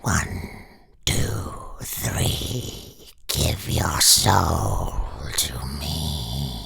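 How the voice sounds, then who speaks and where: A man voicing a sinister, creepy monster with a raspy, breathy growl, luring victims. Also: very deep voice, lively intonation, extremely slow, background noise, voice pushed down, full character voice. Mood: menacing.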